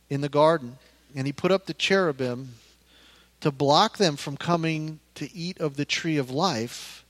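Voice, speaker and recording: A man's voice: fairly high pitch, dry recording. A man in his fifties preaching an informal sermon as a pastor, conversational and emphatic, often repeating phrases for weight. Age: 50s.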